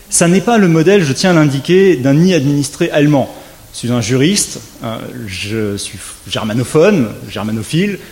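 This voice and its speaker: A man, a legal scholar, giving a formal conference lecture, measured with wry asides and rising emphasis on key points. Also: mid-range voice, darkish tone.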